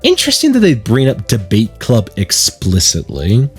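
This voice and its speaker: A man's voice: fairly low pitch, huge pitch swings, normal speed, faint music behind. A man giving chatty, digressive commentary while playing through a game. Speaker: let's play streamer. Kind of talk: let's play commentary mixed with reading game text aloud.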